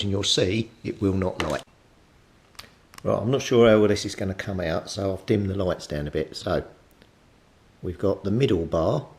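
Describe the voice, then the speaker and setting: Very deep-voiced man explaining repairs. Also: fairly bright tone, slightly rough. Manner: methodical, step-by-step explaining. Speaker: presenter of a hobby repair tutorial. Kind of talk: informal hands-on tutorial.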